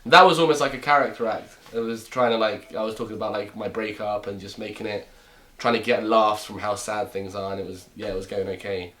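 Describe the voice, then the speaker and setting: Low-voiced man in his twenties, joking. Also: fairly even intonation, a little fast, few pauses, bright, forceful tone. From Romania. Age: 20s. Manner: joking, rambling with restarts. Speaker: comedian guest. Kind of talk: casual chat.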